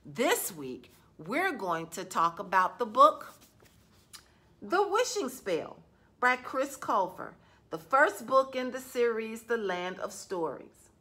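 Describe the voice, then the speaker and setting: A woman librarian reading a children's novel aloud in a gentle, expressive storytelling manner. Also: fairly high pitch, slow. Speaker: youth services librarian.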